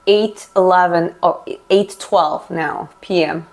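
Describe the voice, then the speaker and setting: A woman's voice: fairly low pitch, fairly even intonation, slow, low-quality recording. A woman from Georgia whose first language is Russian, chatting casually on a live stream, answering viewer comments and explaining as she stretches, often restarting her words.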